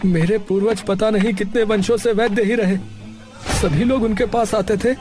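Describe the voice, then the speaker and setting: Male actor as devoted husband in audio moral story: high voice, agitated, stammering, stubborn, music behind. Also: steady tone, normal speed, close to an everyday voice. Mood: hurried, anxious. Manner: agitated, stammering with repeated words. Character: distraught devoted husband from a family of healers, stubborn. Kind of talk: dramatized Hindi moral story acting.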